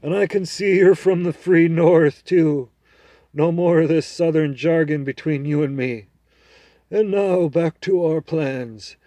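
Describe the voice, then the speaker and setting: Guy doing a bossy talking horse, proud and scornful, raised voice but still kinda dark and slow. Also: a little high, no room sound, pitched above the performer's own voice, close to an everyday voice. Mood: confiding. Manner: haughty, lecturing, loud and emphatic. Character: proud talking warhorse, male, adult, scornful. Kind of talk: storybook reading.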